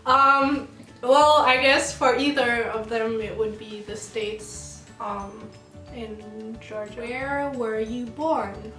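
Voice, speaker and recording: A young woman from Jamaica co-hosting a casual chat, slow and laughing often. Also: fairly high pitch, steady tone, sharp tone. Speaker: channel co-host. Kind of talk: casual get-to-know-me chat. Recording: roomy sound, mic at a distance, music behind.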